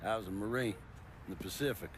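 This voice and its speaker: A man playing a world-weary old American Marine veteran who speaks haltingly and earnestly in a game cutscene. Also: fairly low pitch, a little hiss or hum, natural voice. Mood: weary, cautionary. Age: older.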